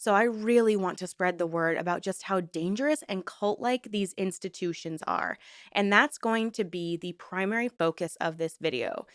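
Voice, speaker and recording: A woman's voice: fairly low pitch, dry recording, studio quality. A woman hosting a true-crime commentary vlog, stuffed up and nasal from a cold, talking in an earnest, conversational way. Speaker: YouTube host.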